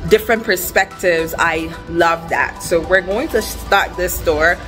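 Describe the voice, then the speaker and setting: A young woman chatting casually and excitedly to viewers as a vlogger and business owner, recorded on a camera at arm's length. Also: on the low side, unhurried, music in the background, low-quality recording. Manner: excited, upbeat. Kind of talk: casual vlog chat to viewers. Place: outdoor storefront and bare empty shop room.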